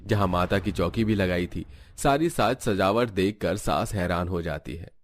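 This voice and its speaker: A man's voice: sits low, moderate pace, a little hiss or hum. A man reading scripted narration as the storyteller of a family tale.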